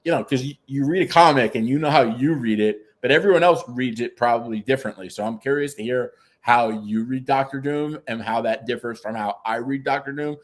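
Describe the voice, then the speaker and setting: A man's voice: medium pitch, a little fast, hardly any pauses. A young man co-hosting a podcast, chatting casually and eagerly about comics and films. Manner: excited fan talk, eager and emphatic. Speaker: podcast co-host.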